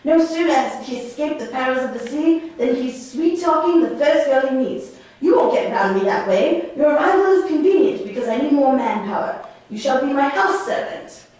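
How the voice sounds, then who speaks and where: A woman's voice: high voice, animated, normal speed, darkish tone. A woman playing an imperious older male sorcerer and stern father, in commanding, declamatory stage dialogue. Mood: scornful. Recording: very echoey room, far from mic.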